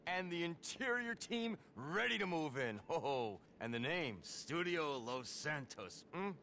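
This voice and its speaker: High-strung, flamboyant middle-aged club owner. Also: male voice, animated, unhurried, bright, forceful tone, slight background noise, exaggerated voice. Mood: smug, showing around. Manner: theatrical, ranting, foul-mouthed. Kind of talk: video game cutscene acting.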